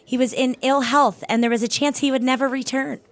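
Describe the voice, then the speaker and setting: Casting: a curt, dignified woman with something to guard; slightly high voice, formal, composed, audio play delivery. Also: steady tone, a little fast. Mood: earnest. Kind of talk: audio drama acting.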